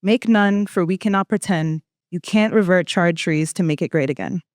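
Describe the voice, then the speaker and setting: A woman's voice: on the low side, normal speed, slight background noise. A young woman, a student poet, delivering spoken-word poetry in an emphatic, accusatory manner. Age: young adult.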